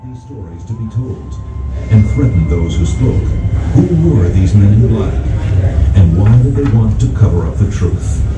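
A man's voice: lowish voice, expressive, a little slow, lo-fi sound. A man narrating a dramatic trailer in an ominous, portentous delivery. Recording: roomy, distant clip. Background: loud music.

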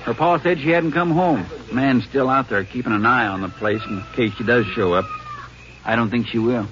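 Actor playing a folksy small-town sheriff. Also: male voice, mid-range voice, normal speed, fairly bright tone, lightly acted. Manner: folksy, drawling talk. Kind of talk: 1950s radio drama acting. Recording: dull old radio recording with hiss.

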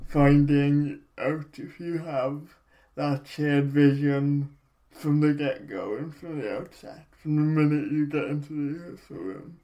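A man interviewing a voice coach, asking slow questions full of ums. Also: fairly high pitch, lo-fi sound. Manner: slow, hesitant questions with fillers.

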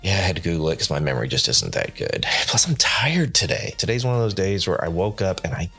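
A man's voice: deep voice, a bit bright. A man hosting a solo podcast off-script, rambling casually with self-deprecating asides, sounding tired and a little nasally. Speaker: podcast host.

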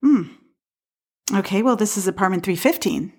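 A woman's voice: medium pitch, expressive, normal speed, darkish tone, breathy edge. A skeptical woman at her apartment, mid voice, chatty and hesitant, radio play style. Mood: puzzled. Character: skeptical, helpful woman apartment dweller, doubts romance. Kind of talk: audio play acting, conversational.

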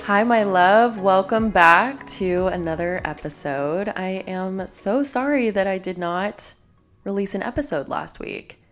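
A woman's voice: medium pitch, unhurried, a bit bright, faint music behind. A young woman hosting a solo self-help podcast, slightly nasal and sniffly from a cold, speaking in an earnest, reflective way. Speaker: podcast host.

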